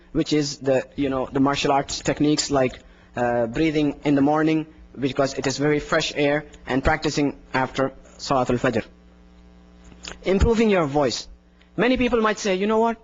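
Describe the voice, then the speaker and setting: A young man leads an indoor workshop, explaining technique step by step and demonstrating with his voice. His voice sits high for a man. He speaks into a handheld microphone on a dull, lo-fi video recording. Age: young adult. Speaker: adhan instructor. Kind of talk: workshop lecture. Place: indoor room in front of a plain wall. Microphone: handheld microphone plus lapel mic.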